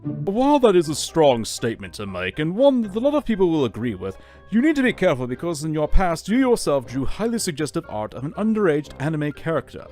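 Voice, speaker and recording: A man's voice: on the high side, huge pitch swings, fairly quick, a little mellow, soft background music. A man delivering scripted advisory commentary. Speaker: YouTube commentator.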